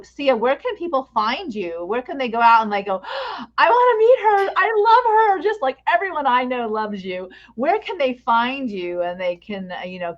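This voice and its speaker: A woman hosting a podcast interview in a casual, gushing, encouraging way, on dull audio. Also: medium pitch.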